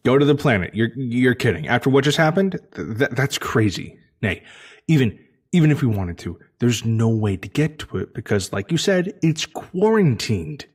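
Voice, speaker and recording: A man's voice: medium pitch, sing-song, moderate pace, darkish tone, breathy edge. A man acting an anxious, cautious former security spacefarer in a sci-fi audio drama, stammering and repeating himself. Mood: incredulous.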